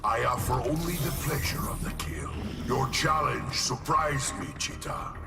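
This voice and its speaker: Casting: enhanced brute villain, gravelly mid-pitched voice, formal menace, boastful, loud music underneath. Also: male voice, a little slow. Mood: proud, menacing. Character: hulking, chemically enhanced brute villain, proud killer. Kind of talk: dramatic video game acting. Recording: game audio over loud music.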